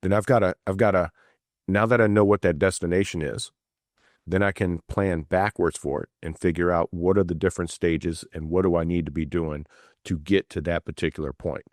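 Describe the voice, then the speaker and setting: A man's voice: deep voice, steady tone, brisk, no room sound, studio quality. A man co-hosting a finance podcast, chatting casually and repeating words as he works toward his point. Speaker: podcast co-host, financial planner. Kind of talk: casual conversational chat.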